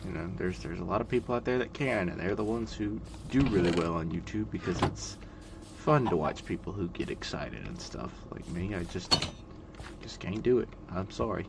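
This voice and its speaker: A man in his twenties giving calm, monotone, rambling gameplay commentary, full of fillers. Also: sits low, normal speed, gravelly. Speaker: gaming video creator. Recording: loud background noise.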